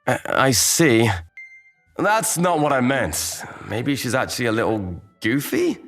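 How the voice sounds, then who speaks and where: A man playing a calm, kindly young programmer and mentor in an anime-style manga dub, reassuring and easygoing. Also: medium pitch, unhurried, soft background music. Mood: gentle, flustered.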